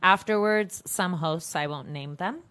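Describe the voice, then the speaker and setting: A woman's voice: lowish voice, measured pace, fairly bright tone. A woman from Armenia with an Iranian Armenian accent, a singer talking candidly and at length on a casual podcast. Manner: candid, talks at length, laughs easily. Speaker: singer guest.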